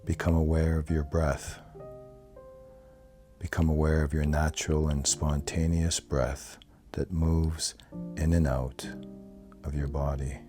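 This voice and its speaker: Very deep-voiced man guiding meditation. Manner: calm, soothing, measured instruction. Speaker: yoga nidra guide. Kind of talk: guided meditation. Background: soft plucked guitar music.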